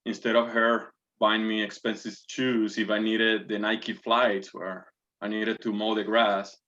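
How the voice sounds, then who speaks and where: A man's voice: lowish voice, little pitch movement, measured pace, sharp tone. A man in his forties from Puerto Rico with a Puerto Rican Spanish accent, a guest answering interview questions earnestly and politely, with many fillers and restarts. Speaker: guest, navy officer. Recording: dull lo-fi audio.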